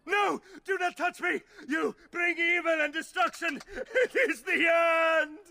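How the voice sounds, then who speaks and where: An actor voicing a frenzied, ranting doomsayer in a fantasy video game, delivered theatrically. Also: male voice, extremely high pitch, a little slow, vocal fry, bright, forceful tone, exaggerated voice. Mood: frenzied, fanatical. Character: frenzied male doomsayer prophesying doom to villagers.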